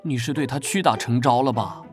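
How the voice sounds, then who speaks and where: An actor playing a serious, easily exasperated young male investigator in an animated drama, blunt and no-nonsense. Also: medium pitch, fairly quick, soft background music, close to an everyday voice. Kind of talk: Chinese animated drama dubbing.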